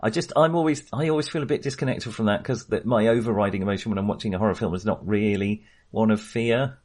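A man with a fairly low voice speaks as a host in a relaxed discussion of film. He wanders through his thoughts, hedging and qualifying them as he goes. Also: fast.

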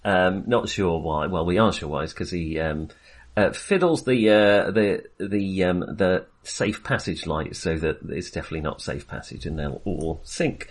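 A man's voice: sits low, lively intonation, normal speed. A man chatting casually and enthusiastically about films as a podcast co-host, rambling and hesitating as he goes. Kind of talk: casual, chatty film discussion.

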